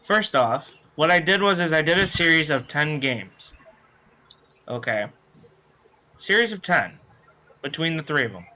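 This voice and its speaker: A man's voice: on the high side, animated, measured pace, pauses often, sharp tone. A man on a casual panel podcast, talking bluntly and combatively with plenty of swearing. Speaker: podcast panelist explaining a tiebreaker.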